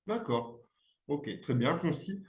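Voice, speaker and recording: A man hosting an interview, asking questions in a halting way with many fillers. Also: on the high side, animated, darkish tone. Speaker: interview host. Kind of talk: casual interview. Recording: echoey room.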